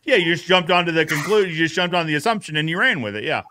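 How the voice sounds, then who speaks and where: Bright, clear-voiced man hosting a recap. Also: fairly high pitch, expressive, rapid-fire. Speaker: host of a podcast recap show. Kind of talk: casual recap chat.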